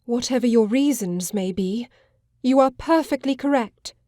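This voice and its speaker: A woman acts out a distraught Victorian lady of thirty in an audiobook drama. Her voice is high and agitated, pleading in formal phrases, recorded close and dry. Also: moderate pace, mellow, no echo, close to an everyday voice. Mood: startled. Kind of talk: full-cast audiobook drama acting.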